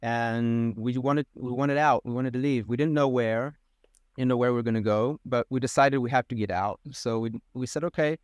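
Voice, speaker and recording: A man in his thirties from Israel, a molecular biologist answering questions in a relaxed, thoughtful way. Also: medium pitch, moderate pace, clear, resonant tone, dry recording. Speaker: podcast guest, molecular biologist. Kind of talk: conversational interview.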